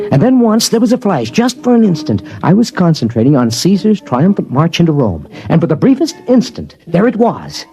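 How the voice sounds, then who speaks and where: A man's voice: a little high, warm tone. An actor playing an earnest young father who narrates his story thoughtfully, in a 1950s radio drama. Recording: muffled old radio broadcast, faint music.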